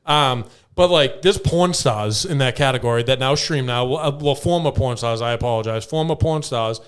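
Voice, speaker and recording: A man with a clear, resonant voice at an average pitch talks as a podcast host. His delivery is blunt and irreverent, full of casual swearing, like loose banter among co-hosts. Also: normal speed.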